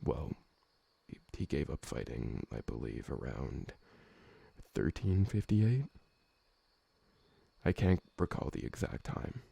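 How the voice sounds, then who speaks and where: A man playing a gentle, awkward, polite old vampire servant who talks hesitantly and deferentially in an ASMR roleplay. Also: extremely low pitch, very animated, slow, creaky, pauses often, husky, dry recording, full character voice. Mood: flustered, polite. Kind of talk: ASMR roleplay audio drama.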